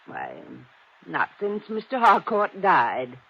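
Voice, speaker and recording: A woman's voice: mid-range voice, huge pitch swings, slow, vocal fry, husky, exaggerated voice. A woman playing a prim, dutiful old housekeeper, formal and gloomy, in an old radio drama. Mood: prim, guarded. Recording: dull vintage radio, faint noise.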